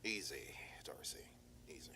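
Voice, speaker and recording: A man playing a calm, methodical male private detective in an analog horror audio drama, speaking in a measured, formal, reassuring way. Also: whispered. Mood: steady.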